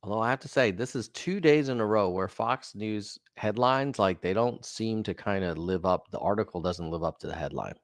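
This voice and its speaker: A man's voice: on the low side, moderate pace, hardly any pauses, no echo, clean studio sound. A man hosting a casual news commentary show, talking in a chatty way with offhand asides.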